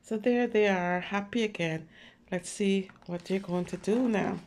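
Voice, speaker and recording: A woman reads aloud to small children at a slow, unhurried pace. She is expressive, full of little exclamations and check-in questions as she comments simply on the pictures. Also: medium pitch. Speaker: children's story reader.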